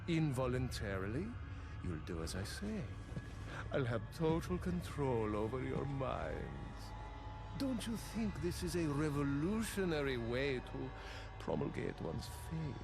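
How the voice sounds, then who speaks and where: Menacing game cult boss, super slow n preachy, voice swoops around, music behind. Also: male voice, mid-range voice, big pitch swings, creaky. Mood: ominous gloating. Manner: grandiose, deliberate, preachy. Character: pompous, menacing male cult leader villain. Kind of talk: theatrical video game villain acting.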